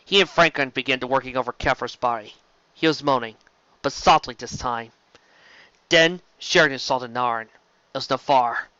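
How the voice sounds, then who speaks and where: A man's voice: medium pitch, moderate pace, pushed and bright, smooth, no room sound. A man reading science fiction fan fiction aloud as dramatic scripted narration. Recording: slightly dull, close dry mic.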